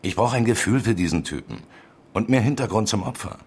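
A man's voice: lowish voice, expressive, brisk, heavy background noise. A man playing a seasoned, dry investigative reporter in a naturalistic radio drama, curt and probing. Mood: dry, insistent.

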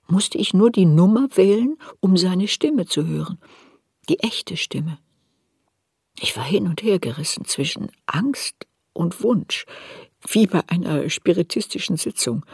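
A woman playing an older writer looking back on her youth, narrating a literary radio drama in a reflective, deliberate way. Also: mid-range voice, gravelly, darkish tone, slightly rough, dry recording, clean studio sound, full character voice.

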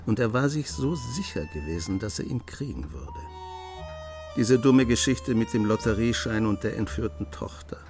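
A writer telling a radio mystery. His lowish voice is intimate and dramatic, with savoured pauses, set over clearly audible music. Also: expressive. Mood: brooding, suspenseful. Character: male writer-narrator, absorbed admirer of a gentleman thief. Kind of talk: radio play narration.

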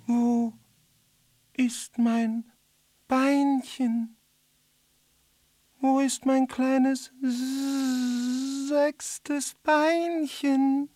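A woman's voice: sits high, steady tone, very slow, long pauses, warm tone, no echo. An actress voicing a small male beetle in a children's radio play, whiny and plaintive, sorrowful, timid yet a little vain. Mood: wailing, sorrowful.

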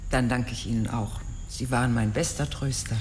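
An actor playing a wistful, wry, world-weary middle-aged confidant in German radio drama dialogue. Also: male voice, mid-range voice, fairly even intonation, normal speed, a little mellow, natural voice. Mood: wry, resigned. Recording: faint background noise.

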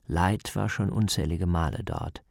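A man's voice: low voice, huge pitch swings, unhurried, vocal fry, dry recording, studio quality. A man, narrating a scripted, atmospheric radio documentary as its reporter.

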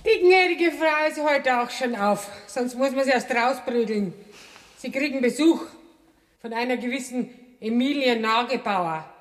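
Radio drama: scolding female Bavarian warder, high voice, set in a reverberant hall. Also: a little slow. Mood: sarcastic, brisk. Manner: sarcastic, scolding. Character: brusque, sarcastic female prison warder. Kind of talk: German radio play acting.

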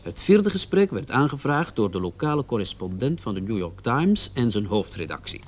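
An actor playing a keen, insistent junior police detective in a radio play, speaking pointedly and emphatically. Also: male voice, mid-range voice, big pitch swings, moderate pace, a little hiss or hum, natural voice. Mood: teasing, suspenseful. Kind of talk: Dutch-language radio drama dialogue.

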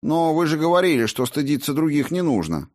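A man's voice: a little high, very animated, brisk, a little mellow, dry recording, clean studio sound, pitched above the performer's own voice. A man voicing a puzzled, uneasy pupil questioning his teacher in a storybook, in a hesitant manner. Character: puzzled, uneasy male foreign pupil of a monk.

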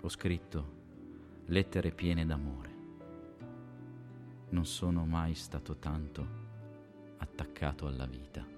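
Very deep-voiced somber soldier. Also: male voice, steady tone, takes it slowly, long pauses, music in the background. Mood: somber, intense. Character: weary young soldier in the trenches, somber. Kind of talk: solemn poetry recitation in radio drama.

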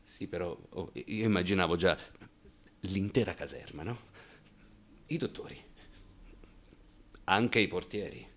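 Deep-voiced anxious, ironic man. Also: expressive, fairly bright tone, heavily acted. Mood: nervous, confiding. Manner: halting, rhetorical asides, swings from muttering to outbursts. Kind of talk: theatrical radio monologue.

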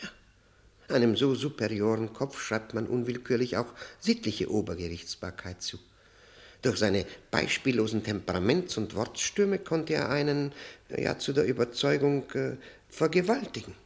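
A man's voice: mid-range voice, expressive, moderate pace, gravelly. An actor playing an earnest, tormented man in a 1960 radio drama, articulate and insistent, breaking into his own sentences. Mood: confessional, tormented. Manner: insistent, articulate, self-interrupting. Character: tormented, earnest man, once in thrall to a seducer.

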